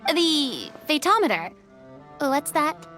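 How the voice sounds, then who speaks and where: Sweet young female game character: chatty, eager, high lively voice over music. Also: takes it slowly, full character voice. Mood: delighted, curious. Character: sweet, curious, cheerful young woman. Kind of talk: video game voice acting. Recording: music behind the voice.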